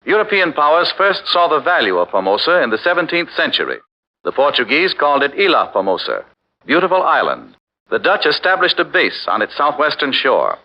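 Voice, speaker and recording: A man's voice: fairly high pitch, wildly swooping pitch, brisk. A man narrating a 1940s radio documentary drama in a dramatic, declaiming manner. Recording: dull 1940s radio recording with music.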